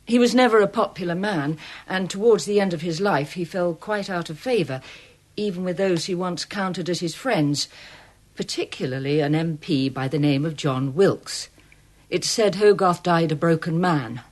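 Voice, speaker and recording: An actress playing a chatty young museum clerk in a radio drama, with an eager manner. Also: female voice, fairly low pitch, normal speed, natural voice.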